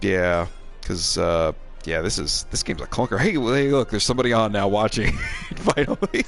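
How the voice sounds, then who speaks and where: A man's voice: fairly low pitch, normal speed, vocal fry, soft background music. A middle-aged man, a game streamer and co-host, chats casually while playing, in a rambling, wry, self-deprecating way.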